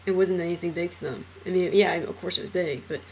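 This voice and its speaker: A young transgender man in a casual personal vlog, talking hesitantly with many fillers and restarts, his trained low voice deepened further by a cold. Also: fairly even intonation, fast talker, darkish tone, a little hiss or hum, low-quality recording. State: voice lowered by illness.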